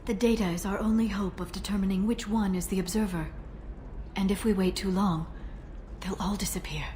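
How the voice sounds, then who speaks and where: Measured actress as a determined female broker. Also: mid-range voice, fairly even intonation, warm tone, close to an everyday voice. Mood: focused. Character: composed, determined female alien information broker. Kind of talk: scripted video game dialogue. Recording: noisy ambient background.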